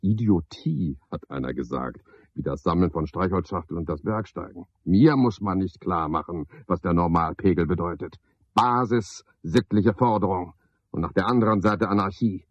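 An actor playing a pedantic, misanthropic night porter in a radio drama, a man with a husky, gravelly voice and a pompous, sardonic manner. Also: deep voice, moderate pace, no echo. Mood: scornful, ranting. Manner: pompous, sardonic, ornate wording. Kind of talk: 1970s German radio drama monologue. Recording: dull, dry 1970s radio recording.

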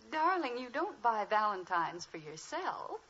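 A woman's voice: fairly high pitch, big pitch swings, slow, bright, forceful tone, heavily acted. A woman acting a patient but firm middle-aged American mother in a 1950s radio sitcom. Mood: gentle. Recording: muffled, hissy old radio recording.

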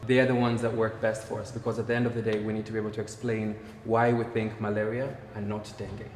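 A man, a healthtech co-founder, explaining his work in an interview with a measured delivery and frequent fillers, recorded in a bright office. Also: fairly low pitch, flat delivery, reverberant, in a large hall, a little hiss or hum. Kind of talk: technical interview answers. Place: bright office by large windows, indoors.

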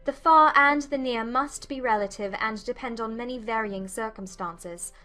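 An actress playing a spirited, self-assured young gentlewoman in a dramatized audiobook, speaking formally and firmly. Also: fairly high pitch, moderate pace, soft background music. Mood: earnest, firm. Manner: formal, articulate, firm.

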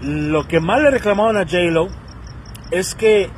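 A man's voice: high-pitched, slow, a little hiss or hum. A man in his thirties with a Mexican Spanish accent, giving a casual, slangy commentary rant to his phone camera outdoors and acting out the people he quotes. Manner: animated, slangy, acts out quoted voices. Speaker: vlogger. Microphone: phone selfie camera.

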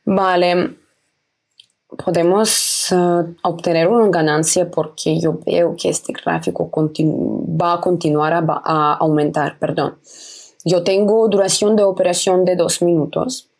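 Husky-voiced woman walking through a trading screen. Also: fairly low pitch, fairly even intonation, unhurried, a little mellow. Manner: simple phrasing, repeats herself, frequent self-corrections. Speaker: trading video host. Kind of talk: casual screen-recorded tutorial. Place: indoors at home, webcam in corner.

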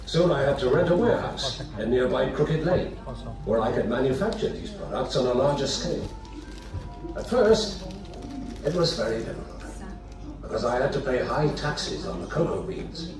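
A man's voice: mid-range voice, lively intonation, normal speed, recorded from a distance, music in the background, natural voice. An actor playing an earnest young Quaker chocolate maker, telling his own story in a scripted exhibit monologue. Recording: echoey exhibit audio played from off.

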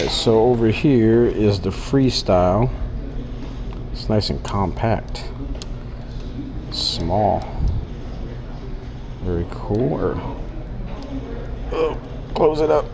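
A man's voice: fairly low pitch, expressive, very slow, long pauses, a crowd in the background. A man casually talking to himself while browsing products in a shop, exclaiming now and then, recorded on a head-mounted camera. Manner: musing to himself, exclaiming at items. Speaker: vlogger touring a shop. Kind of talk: casual handheld product walkthrough. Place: indoor retail store. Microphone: head-mounted action camera.

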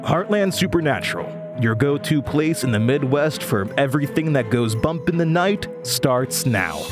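An American man in his twenties playing a weary, sardonic Midwestern horror radio host in an audio drama, with a dry, halting manner. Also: medium pitch, animated, close to an everyday voice. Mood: showy, spooky. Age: 20s. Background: music behind the voice.

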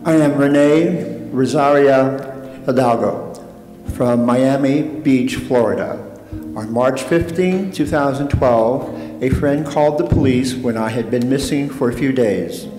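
A man's voice: mid-range voice, takes it slowly. A middle-aged man reading aloud solemnly as a memorial volunteer. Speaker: volunteer reader. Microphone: lectern microphone. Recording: echoey church, music behind.

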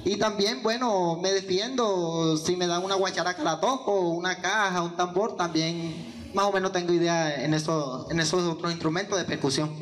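A man's voice: high-pitched, normal speed, fairly bright tone, slight background noise. A young man, answering interview questions into a handheld mic in an indoor hall, hesitant with many fillers and restarts. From Venezuela. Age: young adult. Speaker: guest musician. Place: indoor hall with yellow walls. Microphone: handheld microphone.

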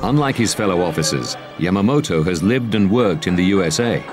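A man narrating a documentary from a script in a dramatic, measured manner. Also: sits low, expressive. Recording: loud music under the voice. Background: dramatic score.

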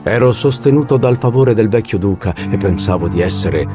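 A man's voice: lowish voice, animated, normal speed, lightly acted. A man playing a wistful swordsman in a narrated game monologue. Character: wistful male swordsman recalling his proud youth. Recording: music behind.

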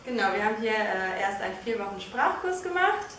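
A young woman in her twenties chats hesitantly but cheerfully about her school, pausing on filler sounds. She stands far from the camera in a large, bright lobby, so her voice rings with a strong, roomy echo. A faint hiss sits underneath. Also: medium pitch, big pitch swings. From northern Germany. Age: 20s. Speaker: language school student. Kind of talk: casual testimonial chat. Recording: echoey hall, distant mic, faint hiss.

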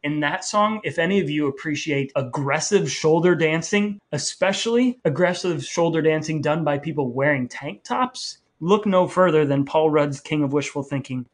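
A man's voice: a little high, normal speed, few pauses. A man co-hosting a casual podcast, talking in a playfully wordy, mock-formal way. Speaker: podcast co-host. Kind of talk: casual podcast talk between brothers.

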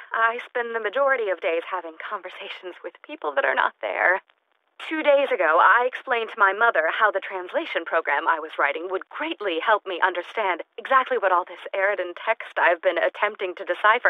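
Eccentric unstable lady scientist from a video game, wordy clinical log entries, mid pitch, tinny radio recorder sound. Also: no room sound. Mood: clinical, unhinged. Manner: formal, verbose, clinical, detached. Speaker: video game character actor. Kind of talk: in-character audio log monologue. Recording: thin, band-limited recorder sound.

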